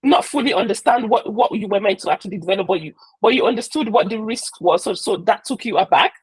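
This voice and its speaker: Woman host, breathy voice, dry sound, hesitant questions. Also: mid-range voice, fast talker, no echo. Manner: hesitant, many fillers and restarts. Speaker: host and moderator. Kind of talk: interview questions and recaps.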